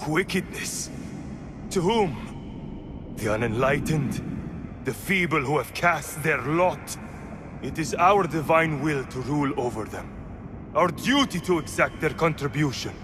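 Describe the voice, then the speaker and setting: An actor playing an arrogant, fanatical villain in a video game, with a haughty and theatrical delivery. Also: male voice, on the high side, animated, unhurried, music in the background. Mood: indignant, haughty. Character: arrogant male zealot villain, cruel and fanatical. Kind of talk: dramatic video game acting.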